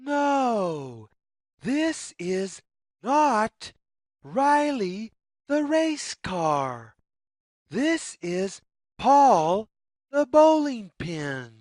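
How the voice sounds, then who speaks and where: Very slow, lilting woman reading to children. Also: fairly high pitch, sing-song, darkish tone, no room sound, clean studio sound. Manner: very slow, deliberate, teacherly phrasing with questions to the listener. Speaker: read-aloud storyteller for young children. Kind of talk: children's story read-aloud, scripted narration.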